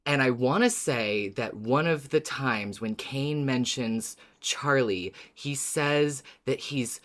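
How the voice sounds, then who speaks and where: Super low voiced woman cohosting a fan podcast, gushy and emphatic, casual, sweary. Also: slow pace. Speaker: podcast co-host.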